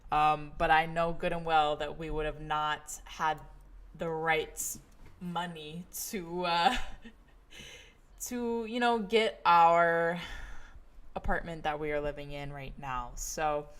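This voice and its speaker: A woman's voice: low voice, takes it slowly, smooth. A young woman from the United States hosting a travel livestream, rambling casually with lots of fillers and small laughs. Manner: rambling, many fillers and laughs, relaxed storytelling. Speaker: livestream travel vlogger host. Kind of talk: casual chatty livestream monologue.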